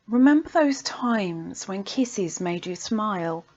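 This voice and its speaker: Woman, poetry recitation, unhurried pace, expressive breathy delivery, medium pitch, muffled lo-fi home recording. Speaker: poet reading own poems.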